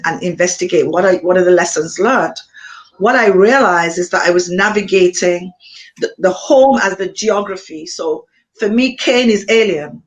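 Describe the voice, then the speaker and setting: A woman from Montserrat with a Montserratian accent, an author being interviewed, speaking thoughtfully with frequent pauses and ums. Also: mid-range voice, poor audio. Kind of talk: reflective conversation.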